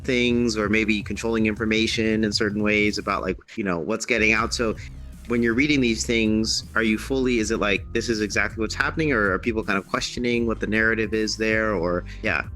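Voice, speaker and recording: Bright-voiced male host, eager. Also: fairly low pitch, steady tone, brisk, faint music behind. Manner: eager, restarts sentences, asks long questions. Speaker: host of a VR discussion show. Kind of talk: casual group discussion. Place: social VR room with music.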